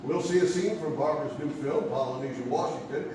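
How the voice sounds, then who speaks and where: A man playing an enthusiastic, flattering seventies TV talk show host with upbeat, emphatic showbiz patter in a radio play. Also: on the high side, a little fast, a little hiss or hum, natural voice. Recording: echoey theatre hall from far off. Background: music.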